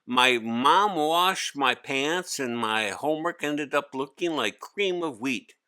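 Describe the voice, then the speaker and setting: A man acts out a young schoolboy from a children's storybook, pitched high and bright. He whines and grumbles, forever burdened and ready with an excuse. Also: animated, takes it slowly, smooth. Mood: making excuses. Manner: whiny, complaining. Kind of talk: storybook character voice.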